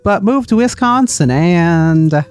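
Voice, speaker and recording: A chatty middle-aged tourist wife, played by a man, high and very animated in pitch. She gushes in folksy phrases, loud and oblivious, in a read-aloud story over music. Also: takes it slowly, smooth, no echo. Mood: gushing. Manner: gushing, folksy Southern-style phrasing, oblivious.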